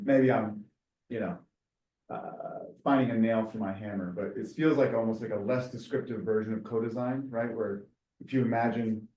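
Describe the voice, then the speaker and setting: A man's voice: lowish voice, dark tone. A male student asking a long, tentative question in class, full of hedging fillers. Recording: distant in an echoey room.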